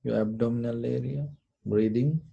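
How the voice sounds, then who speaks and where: A man leading a guided meditation, giving short, deliberate instructions in a gentle, soothing manner. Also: mid-range voice, fairly even intonation, vocal fry, slightly rough, muffled recording. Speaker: meditation teacher.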